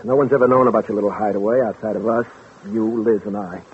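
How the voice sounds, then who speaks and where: A man plays a smooth schemer posing as a loyal pal, reassuring and quick to take charge. It is vintage radio drama, with a thin, phone-like sound and light noise. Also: fairly low pitch, fairly even intonation, close to an everyday voice. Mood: brisk, persuasive. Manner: persuasive, reassuring, takes charge. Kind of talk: 1940s radio drama acting. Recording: noisy, tinny vintage radio recording.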